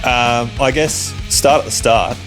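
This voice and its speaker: A man's voice: medium pitch, a little slow, over music. A man hosting a podcast, asking questions in an easygoing, casual way. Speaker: podcast host. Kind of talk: casual interview.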